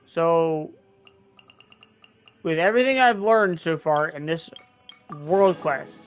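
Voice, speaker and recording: A man's voice: on the high side, animated, takes it slowly, muffled recording, faint music behind. A man hosting a gaming video, thinking aloud as he recaps the story, his voice starting to wear out. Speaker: gaming channel host. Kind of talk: casual gameplay commentary.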